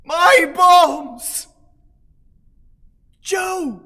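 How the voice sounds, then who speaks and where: An actor playing an anguished, imperious old lord in a radio play, crying out in drawn-out, wailing calls. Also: male voice, extremely high pitch, big pitch swings, bright, forceful tone, heavily acted. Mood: imperious, calling out. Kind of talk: theatrical radio play acting. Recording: long hall reverb.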